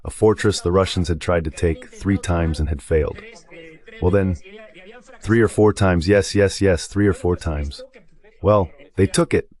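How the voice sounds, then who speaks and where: A man with a low-pitched, synthetic AI dubbing voice gives live map commentary. He explains step by step and repeats short phrases as he points things out. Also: a little slow, darkish tone. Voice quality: synthetic dubbed AI voice. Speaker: guest commentator.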